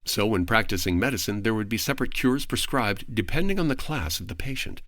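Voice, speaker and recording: Guy with a lowish voice, podcast guest explaining stuff like scripted narration, very dry sound. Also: lively intonation, brisk, a bit bright, no room sound.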